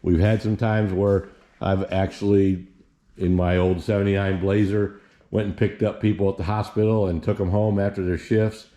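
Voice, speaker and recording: A man's voice: sits low, little pitch movement, normal speed, dark tone. A sixty-year-old man from Indiana chatting casually in his garage vlog, rambling and folksy, with many restarts. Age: 60s. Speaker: garage vlogger. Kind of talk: casual how-to chat.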